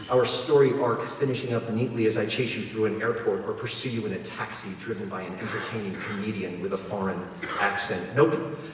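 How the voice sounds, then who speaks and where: A man's voice: medium pitch, a bit bright. Man reading poetry in a very echoey hall. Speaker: poet reading own work at a live event. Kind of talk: performed poetry reading, spoken word. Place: performing arts hall in front of an audience. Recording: echoey hall, distant mic, noisy room ambience.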